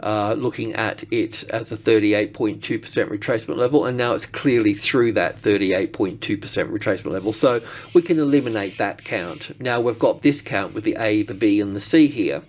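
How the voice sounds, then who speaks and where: Low-pitched man rambling through market analysis. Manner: rambling, halting, thinking aloud. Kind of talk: unscripted chart commentary.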